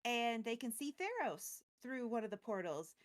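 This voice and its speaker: A woman with a slightly high voice retells a story as co-host of a recap show. She is casual and chatty. Her asides are playful and wry. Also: big pitch swings, normal speed.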